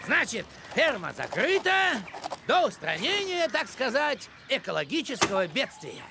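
An actor playing a fussy, officious middle-aged village postman in a cartoon, with pompous bureaucratic phrasing and a creaky voice. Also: very high-pitched, huge pitch swings, a little slow, over music, heavily acted. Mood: officious, stern. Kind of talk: cartoon voice acting.

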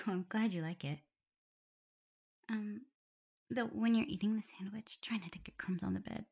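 A woman playing a caring, flustered young omega werewolf in an intimate ASMR roleplay, soft and often breathy and whispery, fussing as she talks. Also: mid-range voice, moderate pace. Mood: tender, caring. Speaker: audio roleplay performer.

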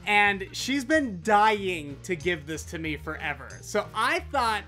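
An American man in his late twenties chats excitedly to camera as he hosts an unboxing. His voice sits high for a man and moves in lively, theatrical swoops. He gushes freely, close to a desk microphone. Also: slow pace, faint music behind. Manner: effusive, theatrical, gushing. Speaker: host of a toy unboxing show. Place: small room full of toy shelves, indoors. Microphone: desktop USB condenser microphone.

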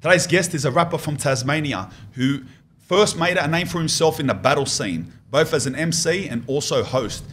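A man's voice: on the high side, lively intonation, fairly bright tone, slightly rough. Slangy man hosting a podcast. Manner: slangy, matey, quick affirmations. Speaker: podcast host. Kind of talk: casual podcast interview.

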